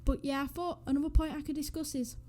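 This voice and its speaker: Mellow-voiced Northwest English young woman. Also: high-pitched, level tone, fast. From northwest England. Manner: rambling, self-deprecating, giggly asides. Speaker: Sims YouTuber. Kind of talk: casual voice-over chat over a speed build. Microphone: USB desk microphone.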